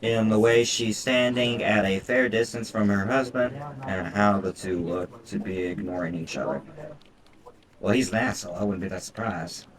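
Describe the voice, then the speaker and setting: A man's voice: on the low side, steady tone, moderate pace, low-quality recording. A man doing casual let's-play commentary as a game streamer, reading visual novel text aloud with foul-mouthed, irreverent asides. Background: game music.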